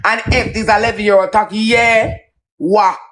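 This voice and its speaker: A man's voice: high-pitched, level tone, measured pace, a bit bright, slight background noise. A man with a Jamaican accent in a combative, profane, emphatic unscripted rant on a livestream. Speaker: social media live streamer.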